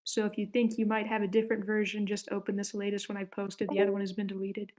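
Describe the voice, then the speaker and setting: A woman with a medium-pitched voice hosts an online script read. She talks casually and informally, steering the group as the session's organizer. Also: monotone, fast talker, darkish tone, poor audio. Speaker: host of a script table read.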